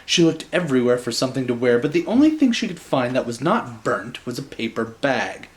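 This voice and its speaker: A man reading a children's bedtime story in an animated style, with wry, joking casual asides. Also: mid-range voice, brisk.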